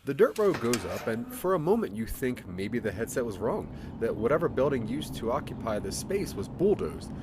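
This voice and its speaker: A man narrating a scripted second-person adventure story in a dramatic, deliberate storytelling manner. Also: on the low side, background noise. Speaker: narrator of a branching audio drama.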